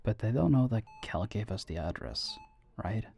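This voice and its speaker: A man's voice: lowish voice, expressive, moderate pace, creaky, warm tone, a little hiss or hum. A man playing a teasing, caring young teammate in an audio roleplay, with a wry manner. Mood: serious. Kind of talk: audio roleplay drama acting.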